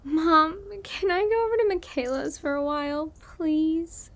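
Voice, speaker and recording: A woman's voice: sits high, unhurried, fairly bright tone. A woman playing a sad young girl, a polite daughter, in an audio horror drama. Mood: pleading.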